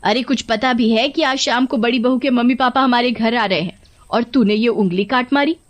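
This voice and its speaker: A bossy older mother-in-law from a cartoon moral tale, put on by a woman. She nags and scolds with open contempt, in a fairly high female voice. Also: normal speed, lightly acted. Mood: exasperated. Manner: sharp, nagging, contemptuous.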